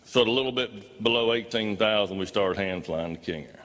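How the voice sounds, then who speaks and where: A man's voice: on the low side, brisk, fairly bright tone. A man tells his own story as a live talk, in a folksy, emphatic way. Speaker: speaker recounting his own experience. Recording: reverberant hall.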